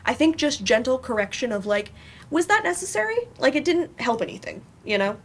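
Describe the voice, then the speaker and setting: A woman's voice: high voice, very animated, a little fast. Hesitant, informal woman on a panel. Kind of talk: casual panel discussion. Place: live panel event in a room. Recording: faint room noise.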